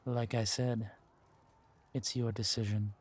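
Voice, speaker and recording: A man's voice: lowish voice, flat delivery, slow pace, a little mellow, husky. A man acting a menacing, seductive villain king in a roleplay audio drama, with a theatrical, commanding drawl. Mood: smug, seductive.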